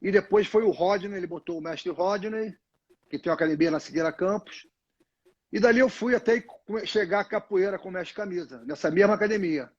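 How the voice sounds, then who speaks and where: A man's voice: high voice, fairly quick. An older man from Brazil, telling long stories in a casual live interview, full of restarts and "né?" tags, speaking into a phone on a tripod. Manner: rambling storyteller, many restarts and "né?" tags. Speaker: guest, retired fighter. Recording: dull lo-fi phone audio.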